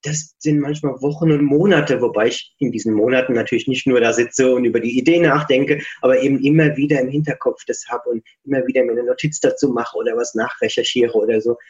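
Man, author, phone interview, muffled sound, mid-pitched, easygoing, lots of ums. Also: fast, hardly any pauses. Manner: relaxed, chatty, with frequent fillers. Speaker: book author. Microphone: phone line.

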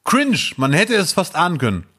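A man in his thirties co-hosting a casual podcast, telling stories in a lively, jokey way. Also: sits high, normal speed, slightly rough. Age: 30s. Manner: animated storyteller, lively and jokey. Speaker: podcast co-host.

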